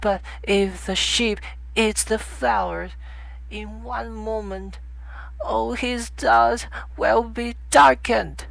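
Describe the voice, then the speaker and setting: A reader acts out a little boy prince from a storybook, pitched high and speaking slowly. He is earnest and indignant, pressing each point with insistent reproach. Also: fairly even intonation, vocal fry, fairly bright tone, dry recording, exaggerated voice. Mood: passionate, upset. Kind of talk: storybook audiobook character voice.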